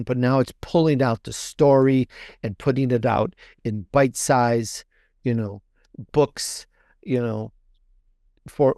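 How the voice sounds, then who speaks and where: A man talks casually as an interview guest. His voice sits at a middle pitch and the sound is dry, with little room. He is emphatic and enthusiastic, often repeating a phrase to stress it. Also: darkish tone, no echo. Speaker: guest author and podcaster.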